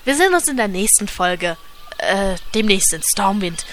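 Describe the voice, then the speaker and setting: A woman's voice: medium pitch, big pitch swings, normal speed, a bit bright, slight background noise. A woman voicing a slightly dim female dwarf huntress in a comedic fan audio drama, who chatters hurriedly. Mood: chirpy.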